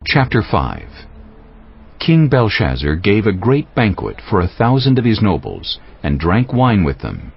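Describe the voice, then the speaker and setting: A man's voice: fairly low pitch, huge pitch swings, a little slow, vocal fry, slightly rough. A man narrating a dramatized scripture reading as a scripted storyteller. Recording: muffled, with faint music.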